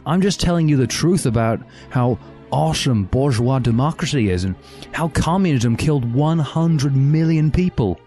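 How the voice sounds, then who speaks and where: A man's voice: medium pitch, lively intonation, moderate pace, dark tone, faint music behind, natural voice. A man voicing an evil, smug professor with a sneering manner in a satirical story. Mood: mocking.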